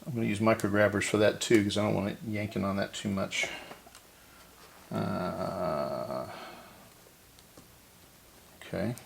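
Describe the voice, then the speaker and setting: A man's voice: lowish voice, fairly even intonation, unhurried, creaky, pauses often, slight background noise. A man giving casual hands-on repair narration, thinking aloud and often correcting himself as he works. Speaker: host of a radio repair channel.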